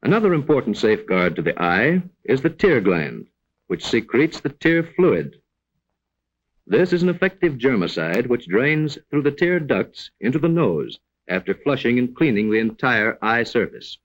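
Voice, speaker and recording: Male narrator for a 1940s educational film: mid-pitched, formal and didactic, with animated intonation. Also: normal speed, muffled recording. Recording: vintage 1940s film soundtrack.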